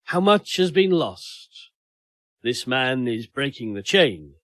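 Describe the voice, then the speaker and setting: A man's voice: mid-range voice, very animated, slow, a bit bright, no echo. Guy reader doing accusing old ghosts in a story, solemn, mournful, very dry sound. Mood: mournful accusation. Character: reproachful ancestral ghosts, whispering and mournful.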